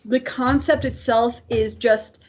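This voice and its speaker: A woman in casual podcast discussion, analytical and halting, restating her points as she goes. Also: fairly high pitch, fairly even intonation. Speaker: podcast speaker. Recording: dull lo-fi audio.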